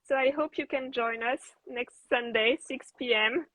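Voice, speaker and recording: A woman hosts a live interview over a video call, chatting easily between questions. Her voice is fairly high with a husky grain. The sound is dry, with very little room in it. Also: unhurried, fairly bright tone, dry recording, low-quality recording. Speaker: host.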